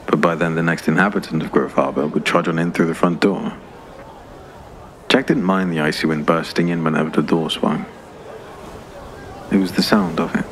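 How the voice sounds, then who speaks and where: A man narrating a scripted dark fantasy story as an audiobook narrator. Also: deep voice, poor audio. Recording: clear background ambience noise.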